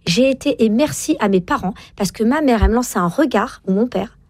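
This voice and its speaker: A woman in her forties speaks quickly as an interview guest, her words tumbling out with emphatic tag words. The sound is dry and close, with no room around her. She talks about her own experience in a relaxed, chatty way. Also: a little high, no room sound. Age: 40s. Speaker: parenting author and guest. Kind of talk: casual interview chat.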